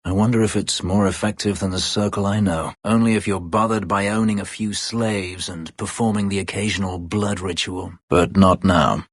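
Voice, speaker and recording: A man voicing a brooding, bitter escaped elf slave in a fantasy video game, husky and guarded, with terse, formal, dry phrasing. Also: fairly even intonation, moderate pace, hardly any pauses, no room sound. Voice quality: husky, gravelly low voice.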